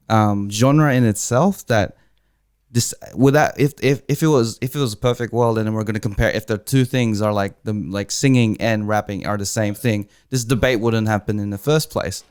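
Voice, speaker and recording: A man's voice: lowish voice, a little mellow, smooth, no room sound, clean studio sound. Young man with an American-sounding accent. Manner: earnest, quick, leans on fillers. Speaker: hip hop artist guest. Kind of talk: casual podcast chat.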